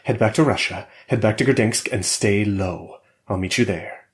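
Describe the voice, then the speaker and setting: Blunt urgent operative, man voicing him, low voice, storybook reading. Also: normal speed, lightly acted. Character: urgent male covert operative.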